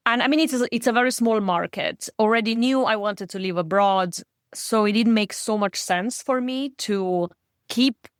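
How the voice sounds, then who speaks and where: Woman, native Slovenian speaker, interview guest, thoughtful, halting restarts, mid-pitched, dry close sound. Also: no echo. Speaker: guest author and book coach. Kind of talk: podcast interview conversation.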